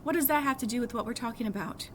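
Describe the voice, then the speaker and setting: A woman's voice: fairly high pitch, racing, fairly bright tone, natural voice. A woman voicing an earnest, impatient teenage big sister in a novel read-aloud, with emphatic, persuasive delivery. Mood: exasperated. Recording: clearly audible background noise.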